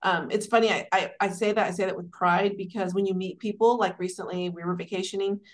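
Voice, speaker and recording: A woman's voice: mid-range voice, steady tone, quick pace. Chatty woman from Dallas telling her story. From Dallas, Texas. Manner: warm, conversational, rambling with fillers. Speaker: guest, city administrator. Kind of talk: interview storytelling.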